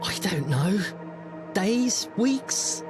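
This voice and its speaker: A man's voice: high-pitched, very animated, drawn-out words, pitched above the performer's own voice, heavily acted. A British man voicing a frightened, breathless young woman lost in endless corridors, stammering and pleading. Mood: desperate. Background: loud music.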